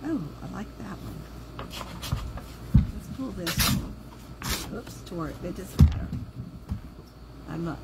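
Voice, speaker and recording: A woman talks through a craft project in loose, chatty asides, her voice pulled low and rough by a sore throat. A steady hum runs underneath, as if the recording were made in a noisy room at her craft table. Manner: chatty, thinks aloud while working. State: sore throat, voice lower than usual. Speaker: craft video host. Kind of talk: casual crafting livestream chat. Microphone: overhead camera on the table, hands only in frame.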